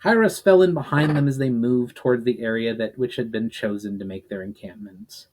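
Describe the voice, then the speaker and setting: Male storyteller for fantasy fiction, husky mid-range voice, dramatic narration. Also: medium pitch, a little fast, darkish tone. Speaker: author and storyteller.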